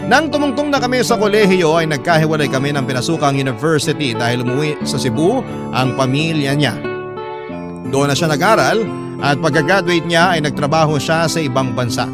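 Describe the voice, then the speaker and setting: A man's voice: on the high side, a little fast, lightly acted. A man narrating a first-person drama story as a young mother recalling past heartache. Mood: reflective. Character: young mother recounting a painful friendship and romance. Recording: voice over loud guitar music.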